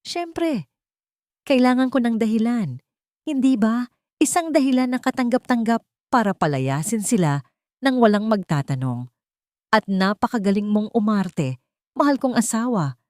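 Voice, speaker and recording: A woman voicing a cold, scheming wealthy wife who talks in a sharp, sneering, threatening way. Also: fairly high pitch, dry recording, clean studio sound, pitched above the performer's own voice.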